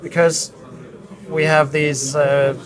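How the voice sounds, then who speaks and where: Guy being interviewed, kinda high voice, halting and thoughtful explaining, crowd chatter all around. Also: level tone. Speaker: design director being interviewed. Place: busy hi-fi trade show hall.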